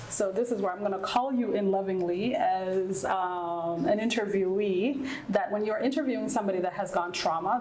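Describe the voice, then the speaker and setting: A woman's voice: mid-range voice, darkish tone. Woman, thirties, from ethiopia and south sudan, native nuer speaker, frank reflective answers, echoey room, faint hiss. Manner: frank, reflective, often adds "right?". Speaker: guest. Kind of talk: candid interview answers.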